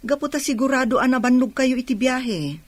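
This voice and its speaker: Welcoming aunt, slightly high voice. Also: female voice, expressive, brisk, no echo. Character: welcoming middle-aged aunt and mother. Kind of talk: radio drama acting.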